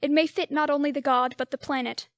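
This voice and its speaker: A woman's voice: sits high, steady tone, fast, dry recording, studio quality, lightly acted. A teenage girl playing a grave, foreboding male scientist and father in an amateur radio play.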